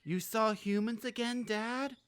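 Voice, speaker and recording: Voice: medium pitch, fairly even intonation, unhurried, smooth, natural voice. An actor playing an eager, naive young Bigfoot son, asking innocent questions in a comedic radio play. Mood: hopeful.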